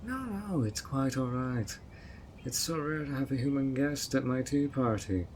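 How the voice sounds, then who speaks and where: A man's voice: medium pitch, lively intonation, unhurried, slight background noise, lightly acted. A man voicing a sweet but obsessive male fairy who coaxes a guest in an audio roleplay, with a honeyed, playfully sly manner. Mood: sweetly scheming. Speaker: fairy host of a tea party.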